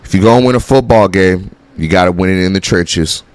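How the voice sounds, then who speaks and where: A man's voice: low voice, fairly quick, smooth, no room sound. A man hosting a football reaction video in casual, blunt talk that is peppered with swearing. His voice is nasal and congested from a sinus infection, and his throat is sore. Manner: blunt, excitable, swears freely. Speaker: football reaction host and coach.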